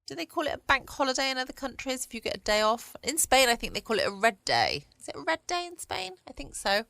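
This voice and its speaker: A woman's voice: sits high, big pitch swings, fast talker, gravelly, bright, forceful tone, no echo. A woman hosting a bedtime podcast in a casual, musing, rambling way. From United Kingdom. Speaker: podcast host. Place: quiet room at home. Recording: close, dry home recording.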